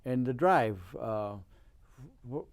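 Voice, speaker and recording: A man hosting a television interview, asking questions in a deliberate, encouraging way and stretching out his phrases. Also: mid-range voice, animated, smooth.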